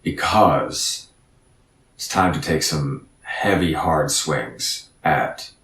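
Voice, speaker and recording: A man's voice: extremely low pitch, lively intonation, extremely slow, a bit bright, roomy sound, far from the mic. A man in his thirties giving an earnest, reflective motivational talk as a podcast guest, often repeating words as he thinks. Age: 30s.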